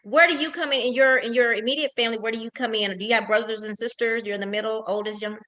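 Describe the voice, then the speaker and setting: A woman host from Houston chatting with a guest, friendly and quick to react with enthusiasm. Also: a little high, fairly even intonation, a bit bright, low-quality recording. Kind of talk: casual interview chat.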